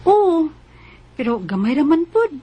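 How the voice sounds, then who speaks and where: An actress playing an earnest, eager nineteen-year-old working student with a Cebuano accent in a radio drama. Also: female voice, high-pitched, sing-song, a little slow, warm tone, a little hiss or hum. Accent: Cebuano Filipino. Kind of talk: Filipino radio drama acting and narration.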